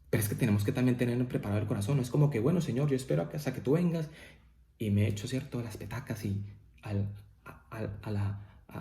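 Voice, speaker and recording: A man's voice: mid-range voice, warm tone, reverberant. A man preaching a family devotional sermon, often checking in with "¿cierto?" and rising to emphatic peaks. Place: church.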